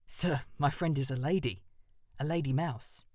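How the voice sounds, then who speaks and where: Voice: mid-range voice, normal speed, darkish tone, no room sound, studio quality, lightly acted. A narrator voicing an eager, polite male crow who speaks courteously and pleadingly.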